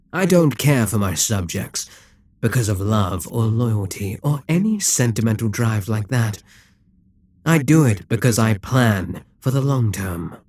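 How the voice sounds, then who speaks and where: Man voicing a slow, husky, domineering Demon King. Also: mid-range voice, darkish tone, no echo. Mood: measured, condescending. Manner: commanding, condescending, deliberate. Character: immortal Demon King, male, cold, calculating, domineering tyrant. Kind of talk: ASMR audio roleplay, dramatic monologue.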